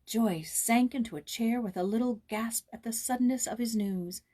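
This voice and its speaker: A woman's voice: on the high side, moderate pace, mellow. A woman hosting a read-aloud, narrating a children's novel. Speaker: storybook reader and host.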